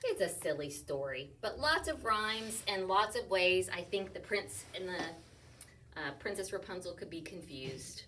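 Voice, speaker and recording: A woman teacher walks young children through a picture book, speaking slowly and playfully. Her pitch swoops up and down as she sounds out rhyming words. She sits a little back from the mic, and a faint hum hangs in the room. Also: far from the mic. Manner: slow, playful and expressive, sounding out rhymes. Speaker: teacher reading to her class. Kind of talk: read-aloud story discussion for young children.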